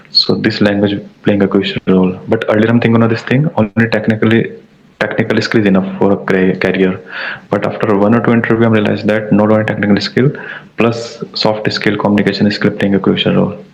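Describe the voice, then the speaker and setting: A young man with a Hindi-speaking background and an Indian accent talks in English, low and gravelly. His delivery is halting and repetitive as he hunts for words, and his flat voice comes through dull, lo-fi audio. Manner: halting, repeats words, searches for phrasing. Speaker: English learner in a practice conversation. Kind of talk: casual practice conversation.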